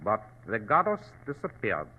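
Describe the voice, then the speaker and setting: An actor playing a dignified, ruthless provincial governor from China, courtly and formal, in 1940s radio drama style. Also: male voice, lowish voice, wildly swooping pitch, moderate pace. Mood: disdainful, grave. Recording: thin, narrow-band old radio recording.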